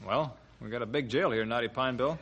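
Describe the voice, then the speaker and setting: Principled, folksy small-town judge. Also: male voice, medium pitch, fairly quick, a bit bright. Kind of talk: 1950s radio drama acting. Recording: noisy old radio drama recording.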